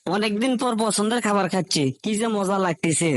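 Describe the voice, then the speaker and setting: A man's voice: sits high, a little fast, no echo, clean studio sound, natural voice. A man playing a jokey, food-loving village husband in a comic cartoon, teasing and exclaiming, with a northern Bangladeshi Bengali accent. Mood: eager, contented.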